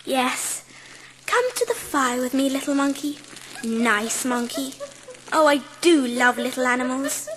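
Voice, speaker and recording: Casting: gentle British schoolgirl of seven, light high voice, animated, polite and earnest, for dramatised storybook. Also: measured pace, in a large hall, a little hiss or hum, rough recording. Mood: tender, delighted. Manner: well-spoken, sweet, earnest. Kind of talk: dramatised audiobook acting.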